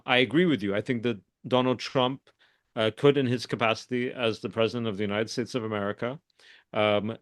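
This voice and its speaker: A man giving measured political analysis as an expert guest in an interview, speaking deliberately and carefully qualifying his points. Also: mid-range voice, a bit bright, dry recording, clean studio sound. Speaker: guest expert, foreign policy scholar.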